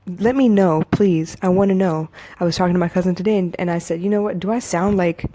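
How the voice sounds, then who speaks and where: A woman's voice: lowish voice, fast, mellow. A young woman life coach with a soothing voice, giving a blunt, casual motivational monologue on her podcast and swearing freely.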